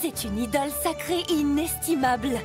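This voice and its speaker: Actress doing a friendly lady scientist for a kids cartoon, highish lively voice, encouraging, loud music behind. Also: normal speed, natural voice. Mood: admiring, explaining. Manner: animated, encouraging, explanatory. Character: friendly woman scientist and explorer guiding children. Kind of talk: children's cartoon voice acting.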